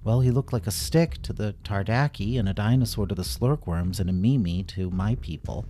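A man's voice: on the low side, moderate pace, warm tone, faint music behind, lightly acted. A man voicing a brave, earnest young girl who is loyal and reassuring, in a children's story. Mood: explaining.

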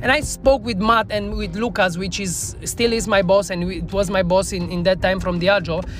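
A woman's voice: medium pitch, steady tone, normal speed, faint music behind. A woman with a Romanian accent, a native Romanian speaker talking in English, telling a candid, self-reflective story as a podcast guest. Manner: earnest, self-reflective, non-native phrasing. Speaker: bar trainer, podcast guest.

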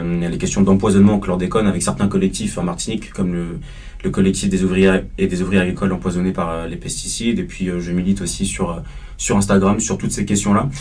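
Young student guy from the paris suburbs, really low voice, bit husky, lots of euh. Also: a little mellow, far from the mic. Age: young adult. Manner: hesitant, frequent fillers. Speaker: history master's student, guest. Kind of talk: casual panel discussion.

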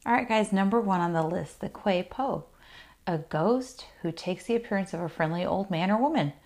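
A woman hosting her own podcast, talking casually and chattily about folklore with fillers and asides. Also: fairly low pitch. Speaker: podcast host. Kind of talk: casual solo podcast talk.

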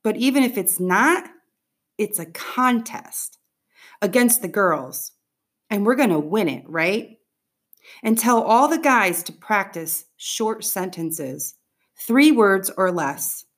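A woman's voice: mid-range voice, animated, slow. A woman reading the part of a bossy, eager fifth-grade boy rallying his friends in an audiobook. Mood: confident, urging.

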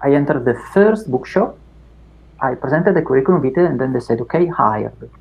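A middle-aged man with an Italian accent, Italian his first language, talking through his past in an unhurried interview with many fillers and restarts. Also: medium pitch, lively intonation, gravelly, highs cut off, slight background noise. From Italy. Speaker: academic guest. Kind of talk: reflective interview chat.

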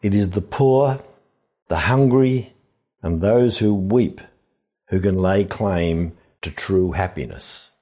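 Male priest, scripture reflection, husky voice, very slow pace, deliberate explanatory delivery. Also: deep voice, warm tone. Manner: measured, deliberate, explanatory with emphatic pauses. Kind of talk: sermon, reflective scripture commentary.

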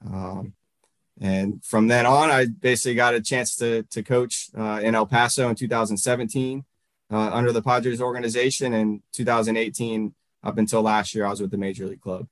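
A man in his thirties from Northern Virginia, a baseball coach answering interview questions in a halting way, full of fillers and restarts. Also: on the low side, steady tone, no echo.

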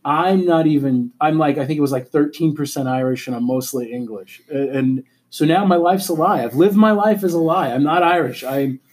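Husky, mellow middle-aged man, earnest. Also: a little high, moderate pace, few pauses. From born in Alabama, raised in Germany. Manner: earnest, often stops and restarts his sentences. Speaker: high school principal, interview guest. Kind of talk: casual conversational interview.

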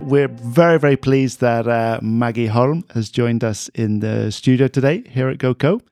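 A man's voice: mid-range voice, normal speed, darkish tone, faint music behind. A man from the UK hosting a podcast, upbeat with frequent hesitations, in a studio. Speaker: podcast host.

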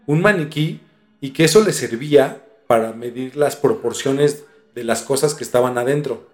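A Mexican man hosting a podcast, telling things in an informal, halting way with some mild swearing. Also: mid-range voice. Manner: informal, halting, mildly profane. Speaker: podcast host. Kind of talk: casual storytelling discussion.

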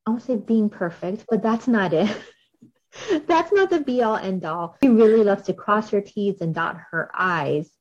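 A woman's voice: mid-range voice, expressive, a little slow. Bubbly podcast host woman, muffled audio. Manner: bubbly, chatty, many fillers. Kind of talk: podcast interview. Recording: dull lo-fi audio.

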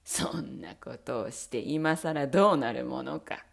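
A woman's voice: low voice, lively intonation, a little slow, gravelly, voice pushed down, exaggerated voice. A woman voicing a cruel, menacing husband who bellows threats and sneers. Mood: sneering.

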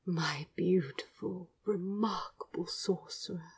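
A woman voicing a tender, teasing prince in a romantic story, murmuring softly and breathily. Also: on the low side, slow, husky, dry recording, distant mic, full character voice. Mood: whispered adoration.

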